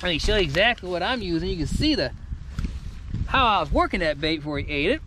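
A middle-aged man hosting a casual fishing vlog from a boat on a lake, folksy and excitable, picked up by an action camera. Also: sits high, fast talker. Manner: excited, folksy, exclaims at catches. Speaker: fishing video host. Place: on a bass boat on a lake outdoors. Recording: outdoor wind and boat noise.

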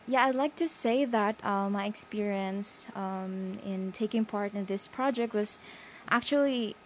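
A woman's voice: medium pitch, unhurried, slight background noise. A young woman with a Filipino accent, a student representative, speaking earnestly on air with some fillers. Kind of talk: radio studio remarks.

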